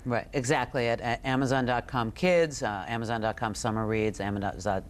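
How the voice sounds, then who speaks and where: A middle-aged woman giving an expert interview as a guest, speaking conversationally and haltingly as she thinks aloud. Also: rumbling low, fairly even intonation, a little hiss or hum. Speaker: books editor, interview guest.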